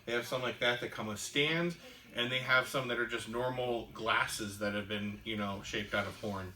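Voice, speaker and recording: A man co-hosts a casual podcast and tells a long, rambling story, full of "um"s and "uh"s. His voice is bright and clear, at a middling pitch. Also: moderate pace, low-quality recording. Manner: rambling storyteller with many fillers. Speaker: podcast co-host. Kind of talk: casual chat.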